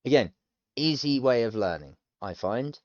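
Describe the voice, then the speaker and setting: A man's voice: medium pitch, expressive, moderate pace, dull audio. A British man in his thirties, talking casually and rambling as he works through a language course, self-deprecating and chatty. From United Kingdom. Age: 30s. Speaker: language learner streamer. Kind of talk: casual thinking-aloud commentary.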